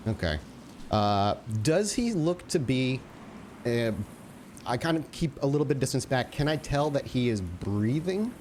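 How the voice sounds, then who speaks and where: A man's voice: mid-range voice, sing-song, unhurried, a little mellow, a little hiss or hum. A man chatting informally and with some swearing as a player in an improvised tabletop roleplaying game.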